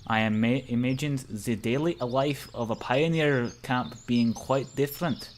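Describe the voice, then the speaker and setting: A man's voice: mid-range voice, a little slow, slight background noise. A man reading a visual novel aloud among friends, narrating informally and tripping over the script now and then. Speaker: narrator of a let's play. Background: faint game music.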